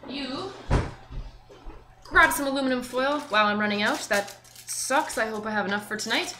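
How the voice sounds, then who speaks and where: A young woman with a fairly high voice hosts an informal cooking demo, chatting and thinking aloud as she works. She is recorded in a roomy home kitchen by a camera a few feet away, with clear noise and clatter behind her. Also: animated, moderate pace. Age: young adult. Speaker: cooking show host. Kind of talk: casual cooking demonstration to camera. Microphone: camera mic a few feet away.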